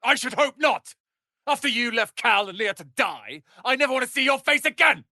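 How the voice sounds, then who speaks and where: An actor voicing a proud, prickly young wizard's apprentice in a fantasy video game, haughty, theatrical and sharp-tongued. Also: male voice, extremely high pitch, lively intonation, normal speed, no echo, studio quality, full character voice. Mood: bitter.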